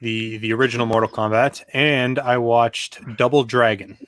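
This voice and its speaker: Bright-voiced man bantering on a panel. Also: medium pitch, fairly even intonation, normal speed, no room sound. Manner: playful, contrarian. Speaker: panelist on a live show. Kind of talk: casual banter.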